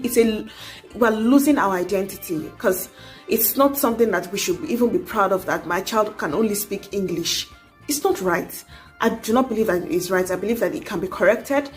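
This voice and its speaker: A young adult woman, talking earnestly to camera in a casual advice vlog, urging and coaxing with many asides. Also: mid-range voice. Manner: earnest, exhorting, frequent "you know". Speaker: vlogger.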